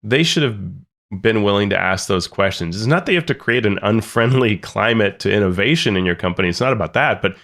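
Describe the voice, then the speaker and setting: A man's voice: lowish voice, expressive, brisk, fairly bright tone. A man co-hosting a podcast, talking earnestly and critically with frequent restarts. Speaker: podcast co-host. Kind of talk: casual discussion.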